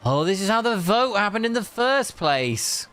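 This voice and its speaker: A man's voice: high-pitched, big pitch swings, moderate pace, fairly bright tone. A man streaming a game, reading story text aloud with sarcastic, joking asides.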